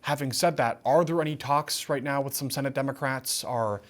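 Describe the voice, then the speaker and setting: A man's voice: medium pitch, moderate pace. An American man hosting a political TV interview, giving his own opinions while deferring to the guest. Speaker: news show host.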